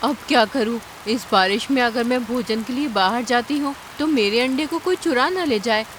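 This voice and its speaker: A high-voiced cartoon bird, played by a woman, clever and full of concern. She speaks earnestly, with a faint noise behind her. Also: moderate pace, fairly bright tone, natural voice. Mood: anxious. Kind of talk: cartoon character acting.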